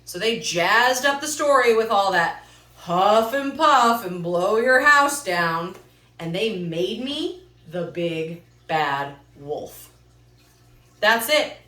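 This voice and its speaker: A woman voicing a folksy, mock-innocent wolf telling his side in an animated storybook read-aloud. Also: mid-range voice, slow pace. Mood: self-justifying. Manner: dramatic, emphatic storytelling. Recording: echoey room, distant mic.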